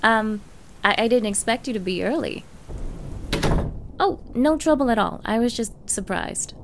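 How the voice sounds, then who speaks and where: Girl voice acting a clingy yandere girlfriend asmr, flustered n coy, fake ditzy, bit of vocal fry, faint background noise. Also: on the high side, animated, unhurried. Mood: flustered, eager. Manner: stammering, coy, eager, breathy asides. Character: flustered, clingy young yandere woman feigning ditzy innocence. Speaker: roleplay voice performer.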